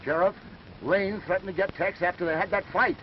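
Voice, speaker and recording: An American actor playing an insistent, accusing rancher boss in a 1930s western film. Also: male voice, high voice, fairly even intonation, a little fast, natural voice. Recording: dull, hissy 1930s film soundtrack.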